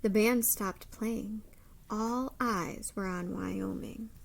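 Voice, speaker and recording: A woman reading a picture book aloud to young children like a teacher, expressively, with dramatic pauses and simple asides. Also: medium pitch, steady tone, vocal fry.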